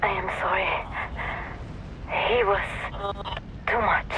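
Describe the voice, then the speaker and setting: Woman acting a slow, dying fighter. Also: mid-range voice, fairly even intonation, muffled recording, noisy background, close to an everyday voice. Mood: weak, tender resignation. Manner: slow, halting, tender. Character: dying, devoted female mercenary fighter. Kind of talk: dramatic video game cutscene acting.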